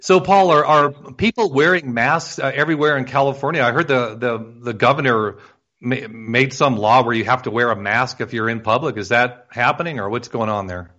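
A man's voice: mid-range voice, moderate pace, pushed and bright. Male host, agreeable and joking, dull lo-fi audio. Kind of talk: casual chat. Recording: muffled lo-fi audio.

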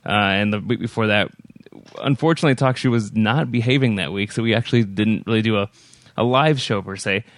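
A man's voice: on the low side, brisk. A man hosting a podcast in a casual, friendly way, with easy filler words and restarts. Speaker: podcast host.

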